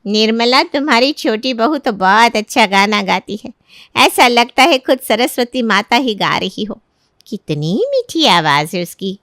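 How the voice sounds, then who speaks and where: A woman voicing a nosy middle-aged neighbour auntie in a storybook tale, teasing and effusive, with drawn-out words. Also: on the high side, animated, fairly bright tone, dry recording, studio quality. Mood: effusive praise.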